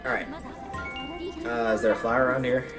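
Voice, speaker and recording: A man's voice: medium pitch, flat delivery, fairly quick, over music. A man livestreaming a video game, giving casual, rambling commentary as he thinks aloud.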